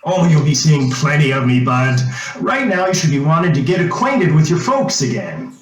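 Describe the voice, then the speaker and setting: A man's voice: fairly high pitch, very animated, moderate pace, far from the mic. Man playing a folksy old man, echoey, off-mic. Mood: genial. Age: older. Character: avuncular old man, folksy and smooth-talking. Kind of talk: old-time radio drama acting. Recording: echoey room, off-mic, faint music.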